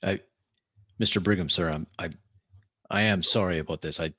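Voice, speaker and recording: A man voices a vampire in a tabletop roleplay, humbly addressing his superior. His voice is deep and closely recorded. He is halting, deferential and quick to apologize. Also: steady tone, sharp tone, husky, no room sound, studio quality, heavily acted. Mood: apologetic, eager. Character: deferential vampire pleading with a powerful sheriff.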